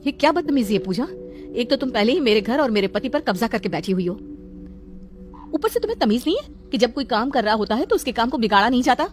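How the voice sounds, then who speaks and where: Casting: female performer as wronged daughter-in-law, sorrowful, proud and earnest, storybook melodrama over clear music. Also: fairly high pitch, sing-song, fast talker, voice pushed up. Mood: hurt, reproachful. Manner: reproachful, earnest.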